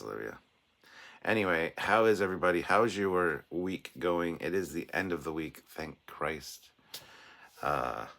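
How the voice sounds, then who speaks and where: A man with an extremely low voice hosts a relaxed livestream, his throat raw and hoarse. He rambles along in a chatty, self-deprecating way, reading viewer messages aloud. His tone carries a forceful, bright edge despite the soreness. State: sore throat, voice hoarse and cracking. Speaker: livestream host. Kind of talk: casual livestream chat, reading out viewer comments.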